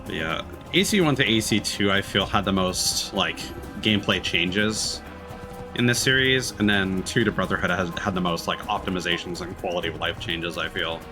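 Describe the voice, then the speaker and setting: A man's voice: sits low, moderate pace. A man giving casual let's-play commentary as a game streamer, thinking aloud in a hesitant way. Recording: game music behind the voice. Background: video game music.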